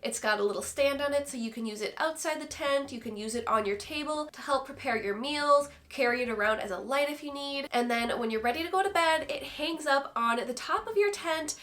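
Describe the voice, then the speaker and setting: High-voiced young woman, stuffed-up nasal vlog. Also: a little fast, few pauses, fairly bright tone. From Minnesota, USA. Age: 20s. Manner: chatty and enthusiastic. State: stuffed-up nasal voice after illness. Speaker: vlogger. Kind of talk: casual product review vlog.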